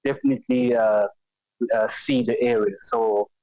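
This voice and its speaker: A man's voice: mid-range voice, fairly even intonation, moderate pace, warm tone. A man from Congo with a Congolese accent, calling in to a talk show and speaking hesitantly with many fillers and restarts. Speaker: caller. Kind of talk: phone-in call. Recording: dull lo-fi phone-in audio.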